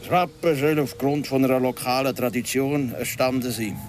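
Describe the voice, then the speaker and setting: A man's voice: mid-range voice, steady tone, moderate pace, a little mellow, a little hiss or hum, close to an everyday voice. An actor playing a wary, guarded local man in a radio drama, with a Swiss German accent and a terse, formal manner. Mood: reserved, explaining.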